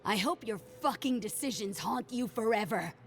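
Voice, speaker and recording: A woman's voice: a little high, animated, moderate pace, soft background music. A woman playing a grieving, unforgiving accuser in a game cutscene, solemn and bitter in manner. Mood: bitter grief. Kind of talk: dramatic game cutscene acting.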